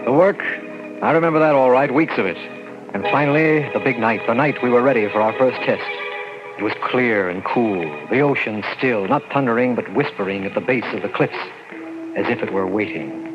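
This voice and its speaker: A man narrating as a hushed radio-drama assistant. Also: medium pitch, a little fast, lightly acted. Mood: tense. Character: young male lab assistant, narrating his past. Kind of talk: 1940s radio acting. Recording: dull old radio recording under loud music.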